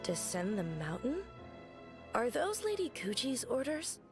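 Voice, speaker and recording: Lively, formal young-sounding shrine maiden. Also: female voice, fairly high pitch, a little slow. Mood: dutiful. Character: dutiful adult female shrine maiden. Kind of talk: video game voice acting. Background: music.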